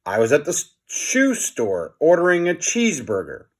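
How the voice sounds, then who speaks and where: A man's voice: on the high side, wildly swooping pitch, normal speed. A man voicing a pompous, cheerful, dim-witted superhero from a children's storybook, declaiming with grand earnestness. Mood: proudly heroic.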